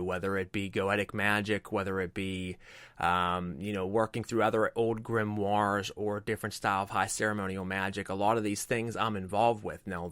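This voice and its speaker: A man's voice: fairly low pitch, a bit bright, no echo, studio quality. A man hosting a talk show, reading sponsor plugs and welcoming a guest with enthusiasm and frequent fillers. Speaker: host.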